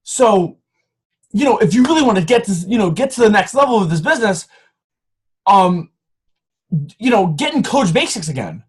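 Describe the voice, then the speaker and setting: A man's voice: high-pitched, sing-song, normal speed, pauses often, breathy edge, poor audio. A man in his twenties giving an earnest, pushy motivational talk to his coaching team from home, often restarting his sentences. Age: 20s. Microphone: camera.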